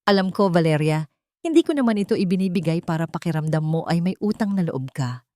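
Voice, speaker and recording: A woman voicing an earnest, gentle young wealthy man, remorseful and in love, in an audiobook drama. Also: lowish voice, expressive, fairly quick, no room sound, lightly acted. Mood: earnest, reassuring.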